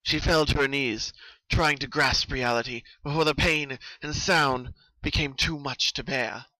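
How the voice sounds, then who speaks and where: A storyteller reads horror fiction with theatrical intensity. The voice is sharp and forceful, recorded dry without room sound. The delivery is scripted and dramatic. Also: medium pitch, fairly even intonation, unhurried, no echo, poor audio. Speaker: narrator.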